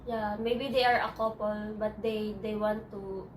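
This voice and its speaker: Halting Filipino-accented woman, distant mic. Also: mid-range voice, level tone, slight background noise. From Philippines. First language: Tagalog. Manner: halting, hesitant, many restarts. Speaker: interviewee. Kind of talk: personal interview. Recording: echoey room, distant mic.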